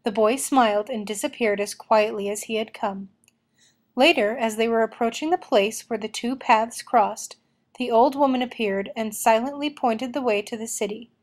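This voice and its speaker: A woman reading a children's story aloud in an expressive storytelling style. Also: fairly high pitch, normal speed. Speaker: storybook reader.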